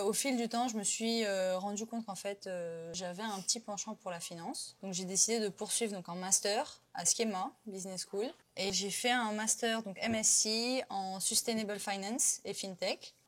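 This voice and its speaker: A woman's voice: mid-range voice, steady tone, moderate pace. A woman in her twenties from Côte d'Ivoire, chatting as an interview guest with lots of fillers and restarts. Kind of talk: casual interview chat.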